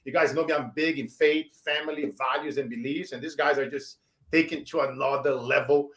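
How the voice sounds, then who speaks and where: A man in his forties with a Brazilian accent, hosting a show and giving emphatic motivational shout-outs. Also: mid-range voice, fairly even intonation, fairly quick. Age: 40s. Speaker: show host. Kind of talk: motivational interview wrap-up.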